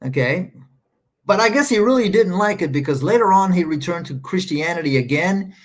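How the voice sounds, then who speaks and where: A man's voice: high-pitched, animated, normal speed. A man rambling through casual livestream commentary, sarcastic and scornful, stopping often to search his screen. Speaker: online commentator.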